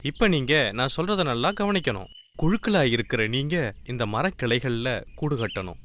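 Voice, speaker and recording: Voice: medium pitch, animated, normal speed, natural voice. A voice actor playing a wise bird guru who instructs and cares for young students in a children's cartoon. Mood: instructive. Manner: instructive, commanding, caring. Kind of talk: animated children's moral story dialogue. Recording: loud music under the voice.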